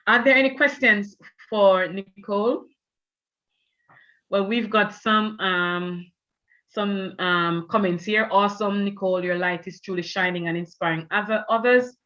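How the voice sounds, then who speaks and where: A woman with a Jamaican accent moderates an online panel, pausing often on "you know" as she talks. Her voice comes over a video call and sounds a little muffled and dull. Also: medium pitch, fairly even intonation. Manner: warm, with many "you know" fillers. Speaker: event host.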